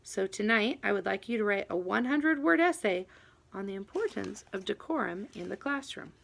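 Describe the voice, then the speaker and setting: A woman voicing a measured, formal male middle-school teacher in a children's audiobook, deliberate and admonishing. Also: mid-range voice, expressive, vocal fry, a bit bright. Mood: gently admonishing.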